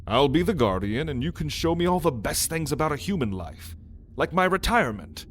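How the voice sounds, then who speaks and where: A man's voice: a little high, big pitch swings, a little hiss or hum, voice pushed up. A man voicing a lazy, playful old male dragon in an ASMR roleplay, with a teasing, sardonic drawl. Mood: playful, eager. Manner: unhurried, teasing, chuckling drawl. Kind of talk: ASMR roleplay addressed to the listener.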